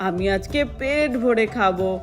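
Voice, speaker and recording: A woman's voice: a little high, animated, moderate pace, over music, close to an everyday voice. A woman voicing a hungry, kindly boy god in a doll story, with an eager, earnest, childlike manner.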